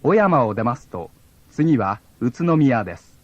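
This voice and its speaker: A man's voice: medium pitch, sing-song, fairly quick, dry recording. A man reading scripted onboard train announcements in a formal, polite way. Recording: dull lo-fi old cassette tape.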